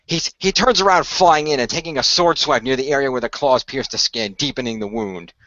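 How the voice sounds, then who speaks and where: A man improvising action narration for a radio play, haltingly and often repeating phrases as he goes. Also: medium pitch, bright, forceful tone, no room sound, dull audio. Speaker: game-style narrator and player of a radio play.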